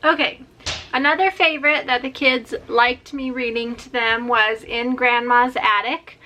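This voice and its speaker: A woman's voice: fairly high pitch, fairly even intonation, unhurried, sharp tone, poor audio. A woman talking in a casual, informal vlog style as a homeschooling mother presenting books. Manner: informal, often breaks off to talk to her small children.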